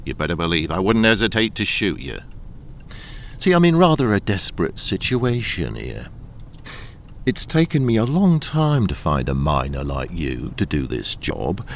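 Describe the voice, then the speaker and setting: An actor playing a suave smuggling boss in a radio crime drama, smooth, patronizing and politely threatening. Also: male voice, low-pitched, huge pitch swings, unhurried, a little hiss or hum, full character voice. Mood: menacing. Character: suave menacing smuggling boss, coolly blackmailing a deserter.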